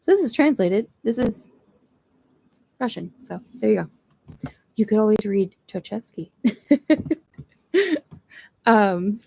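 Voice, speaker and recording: A woman's voice: medium pitch, animated, slow pace, creaky, long pauses, darkish tone, rough recording. A woman who is not a native English speaker, chatting casually and thinking aloud during a live reading session at home. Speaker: book streamer. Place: at home, seated in a chair.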